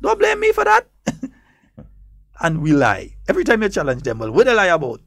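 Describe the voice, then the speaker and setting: A man's voice: high-pitched, huge pitch swings, brisk, creaky, fairly bright tone, no echo. A man with a Trinidadian Grenadian accent, hosting a political talk show in a studio, emphatic and defiant, repeating points for effect. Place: radio studio.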